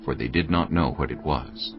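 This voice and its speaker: A man narrating scripture in a measured, steady reading, on dull audio with faint music behind. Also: very deep voice.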